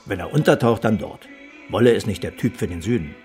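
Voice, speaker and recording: An actor playing a gruff, forgetful former escape helper, middle-aged, blunt and wry in a naturalistic radio play. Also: male voice, fairly low pitch, expressive, brisk, a little mellow, faint music behind, natural voice. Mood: offhand.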